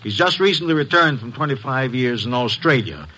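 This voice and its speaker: An actor playing a formal, guarded, well-to-do middle-aged gentleman in a radio drama, courtly and emphatic. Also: mid-range voice, expressive, brisk, rough recording. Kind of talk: old-time radio drama acting.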